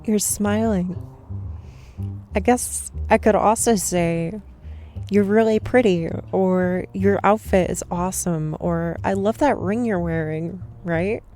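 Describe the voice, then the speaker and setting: A woman's voice: mid-range voice, slow pace, mellow, faint music behind, lightly acted. A woman playing a shy, nervous young woman stranger at a cafe in an ASMR roleplay, rambling and second-guessing herself. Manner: rambling, self-conscious, overthinking.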